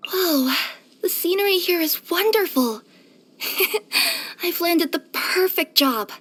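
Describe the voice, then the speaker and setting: A woman voicing a cheerful, eager young female cat yokai courier in a video game, with an expressive, cutesy delivery and little hesitant murmurs. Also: very high-pitched, slow, heavily acted. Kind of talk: scripted video game character voice lines.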